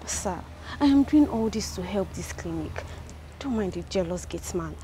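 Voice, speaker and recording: A woman's voice: mid-range voice, animated, normal speed, warm tone, close to an everyday voice. A young woman with a Nigerian accent, acting a clinic receptionist in a film drama, talking defensively and pleading her case. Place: outdoors on a clinic balcony. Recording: outdoor ambience with clear noise.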